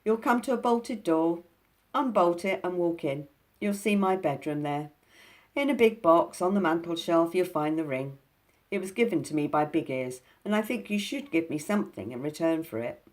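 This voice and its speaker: A woman's voice: on the low side, animated, moderate pace, pitched above the performer's own voice, lightly acted. A woman voicing a scheming, boastful male creature with a duck's head in a storybook reading. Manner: bossy, scheming, loud. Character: sly duck-headed male creature, cat-tailed.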